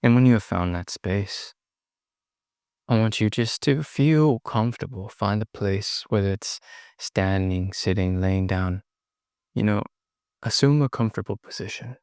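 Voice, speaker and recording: A man guides a meditation in a low voice with plenty of gravelly fry. His delivery is very slow and soothing, broken into short phrases with long pauses between them. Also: darkish tone, dry recording, clean studio sound.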